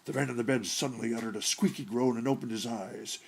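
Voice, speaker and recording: Gravelly male short-story narrator. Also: mid-range voice, steady tone, quick pace, a little hiss or hum. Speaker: audiobook narrator.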